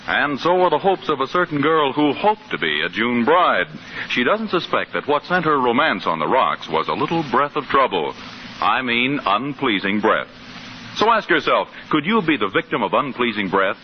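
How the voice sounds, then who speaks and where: A man's voice: medium pitch, sing-song, moderate pace. An American man announcing and reading a scripted advertisement in polished, emphatic 1940s radio style. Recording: muffled, noisy 1940s radio recording.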